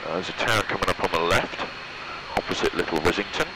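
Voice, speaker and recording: A man's voice: low-pitched, expressive, fast talker. A young British man on a headset, muttering short flight checks to himself as a student pilot. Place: small open-sided microlight cockpit in flight. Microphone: aviation headset. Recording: loud aircraft engine noise, headset audio.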